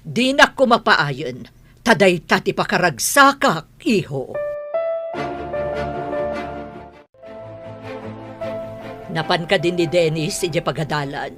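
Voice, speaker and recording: A woman's voice: fairly low pitch, animated, measured pace, over music. Woman playing a swooping, domineering Ilocano mother. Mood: demanding. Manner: haughty, emphatic. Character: proud, domineering middle-aged mother, insistent and scolding. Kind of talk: Filipino radio drama acting.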